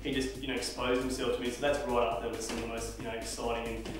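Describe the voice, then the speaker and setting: A man's voice: mid-range voice, level tone, quick pace. A man talking casually in an interview as a radio station member. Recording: very echoey, distant mic, music behind.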